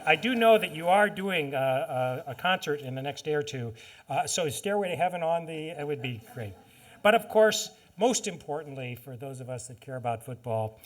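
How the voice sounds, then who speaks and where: A man hosting a public forum, giving a formal spoken introduction in a halting manner with restarts. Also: on the high side. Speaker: event host introducing a speaker. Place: public lecture forum with an audience.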